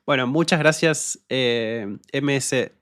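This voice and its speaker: Young male podcast host with a neutral Argentine accent and a French-like R. Relaxed and hesitant, recorded dry and close. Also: mid-range voice, no echo. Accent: neutral Rioplatense Argentine Spanish, uvular French-like R. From Patagonia, Argentina. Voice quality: uvular French-like R. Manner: relaxed and hesitant, many fillers, speaks slowly for learners. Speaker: podcast host and Spanish teacher. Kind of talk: casual solo podcast, reading listener comments.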